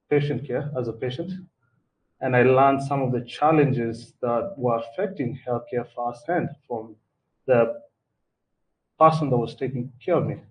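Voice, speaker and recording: Kenyan-born man telling his story from afar. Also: mid-range voice. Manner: relaxed, halting with fillers and repeats. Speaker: guest speaker, entrepreneur. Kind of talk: personal story at a live talk. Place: event hall before an audience. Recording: distant mic with faint background noise.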